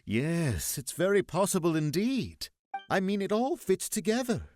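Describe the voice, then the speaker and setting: A man's voice: high-pitched, sing-song, a little slow, darkish tone. A man voicing an earnest, suspicious townsman who muses emphatically in a video game. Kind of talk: video game story dialogue. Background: music.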